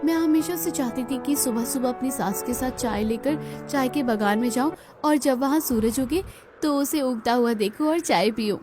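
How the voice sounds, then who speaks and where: High-pitched eager young bride, acted by a woman. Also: moderate pace, music in the background, natural voice. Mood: wistful. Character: eager young city-bred daughter-in-law, sweet newlywed.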